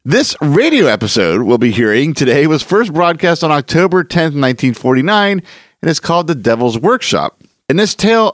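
A man's voice: medium pitch, no echo, clean studio sound. A man hosting a podcast, reading a scripted introduction. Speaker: podcast host. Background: music.

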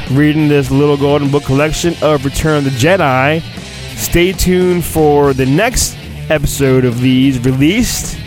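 A man hosting a podcast, giving a mock-dramatic reading of a children's storybook and breaking off into cheeky, joking asides. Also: a little high. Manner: theatrical, juvenile innuendo, breaks off into asides. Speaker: podcast host.

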